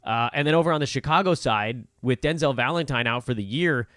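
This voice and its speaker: A man's voice: mid-range voice, brisk, a bit bright, dry recording, clean studio sound. A man co-hosting a casual sports chat show, talking in a joking, self-deprecating way. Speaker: podcast co-host. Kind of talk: casual fantasy basketball chat.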